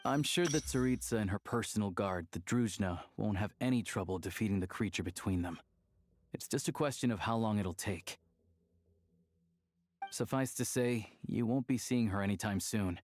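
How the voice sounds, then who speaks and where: A man's voice: lowish voice, normal speed, a little hiss or hum, close to an everyday voice. An actor playing an easygoing, worldly young man in a video game, talking in a conversational, confiding way. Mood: informative. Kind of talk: video game dialogue acting.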